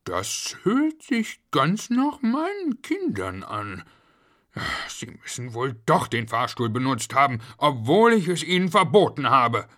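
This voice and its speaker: A man's voice: mid-range voice, normal speed, few pauses, sharp tone, voice pushed up, lightly acted. A man voicing a harried, strict working father who is easily exasperated in a children's story. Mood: worried.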